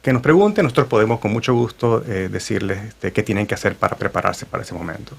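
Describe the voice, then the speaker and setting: A man's voice: lowish voice, rapid-fire, creaky, breathy edge. A man talking as a real estate agent on a radio morning show, with many fillers and restarts. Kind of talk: radio talk show guest. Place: radio studio.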